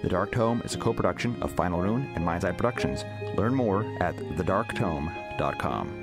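Low-voiced male announcer over loud guitar. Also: expressive, moderate pace. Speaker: podcast announcer. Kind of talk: scripted credits read. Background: loud guitar music.

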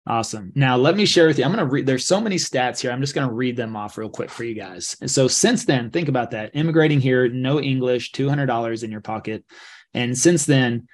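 Man, 40s, easygoing podcast host on a desk mic, relaxed intro. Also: mid-range voice, brisk. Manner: casual, many fillers, reads stats. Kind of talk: interview introduction. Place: home studio.

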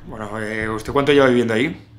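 A man in his thirties with an accent from Spain, making a prank phone call as a stern policeman, halting and scolding. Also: medium pitch, slight background noise. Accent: Spanish from Spain. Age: 30s. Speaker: prank caller. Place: small room at home.

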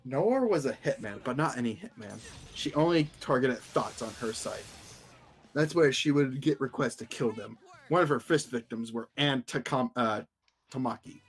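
A man's voice: medium pitch, lively intonation, moderate pace. A man streaming a casual, stumbling read-aloud of fan fiction, breaking off to comment. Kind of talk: casual fanfic reading with commentary.

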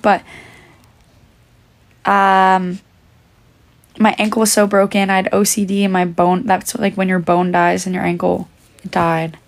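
Young woman on a phone livestream, kinda run down and losing her voice, gravelly fry, chatty q&a vibe. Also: mid-range voice, monotone, unhurried, long pauses. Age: young adult. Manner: chatty, reading out and answering fan questions. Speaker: livestreamer answering comments. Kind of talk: casual livestream chat. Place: indoors, small room. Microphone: phone held at arm's length.

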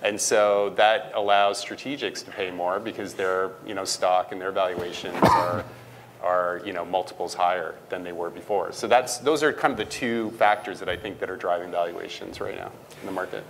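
A middle-aged man giving halting, unscripted commentary as a panelist on a conference stage. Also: low voice, fairly even intonation. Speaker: panelist, finance professional. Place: conference stage in a large hall. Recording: reverberant hall, faint background noise.

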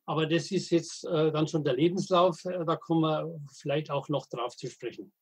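Man, 80s, husky, slightly high, halting, anecdotal, dry humor. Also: fairly even intonation. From Middle Franconia, Germany. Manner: halting, frequent hesitations and restarts. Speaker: guest, veteran wastewater engineer and editor. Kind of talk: podcast interview, storytelling.

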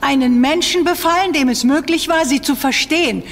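An actress playing a knowledgeable team member in a German audio drama, informing the others. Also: female voice, sits high, fairly quick, big-room sound. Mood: informative.

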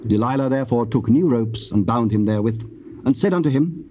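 Male narrator, solemn Bible storytelling, measured, formal, muffled old tape, slight noise. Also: medium pitch, animated. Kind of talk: solemn dramatized scripture narration. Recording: dull, faintly noisy cassette recording.

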